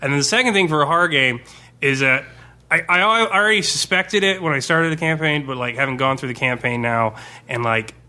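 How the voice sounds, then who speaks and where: A man's voice: on the high side, brisk, sharp tone. A man in casual, sweary conversation, explaining things informally as a tabletop game master. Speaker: tabletop game master and podcast guest discussing his campaign. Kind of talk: casual chat.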